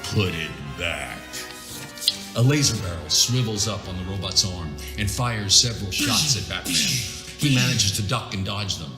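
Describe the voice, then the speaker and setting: A man's voice: on the low side, expressive, moderate pace, roomy sound, loud music behind the voice. A man reading scripted scene descriptions as the narrator of a comic book adaptation.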